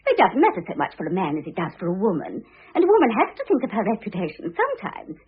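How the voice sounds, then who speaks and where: An actress playing an affectedly innocent, self-absorbed young second wife in a classic radio drama, with a gushing, theatrical, wheedling manner. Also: female voice, mid-range voice, huge pitch swings, quick pace, husky, highs cut off, heavily acted. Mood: self-sacrificing pose. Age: young adult. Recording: thin band-limited old radio recording.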